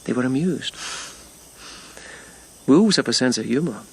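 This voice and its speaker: A reserved, thoughtful police lieutenant of ancient Japan. His voice is mid-pitched and husky. He tells things reflectively, in short halting phrases, in a naturalistic radio drama style. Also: animated. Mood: wistful, reflective.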